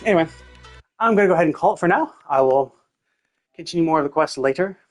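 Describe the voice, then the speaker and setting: A man streaming a game, casually rambling and thinking out loud as he commentates. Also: fairly high pitch, animated, quick pace, soft background music. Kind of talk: casual live stream commentary, speculating aloud.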